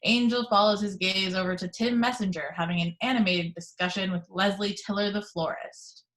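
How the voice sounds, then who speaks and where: A woman reads stage directions at a table read in a mid-pitched voice. Her delivery is even and measured. She is heard over a video call. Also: poor audio. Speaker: narrator reading stage directions. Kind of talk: reading action description.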